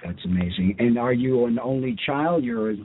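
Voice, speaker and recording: A man's voice: medium pitch, very animated, moderate pace, vocal fry. A man hosting a radio show and interviewing casually. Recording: muffled, thin phone-like radio audio.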